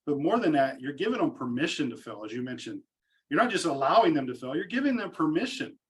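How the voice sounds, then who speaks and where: A man's voice: a little high, sing-song, fast. A man hosting an interview podcast, agreeing readily and talking around his points with many restarts. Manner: agreeable, rambling with repeated restarts. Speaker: podcast host.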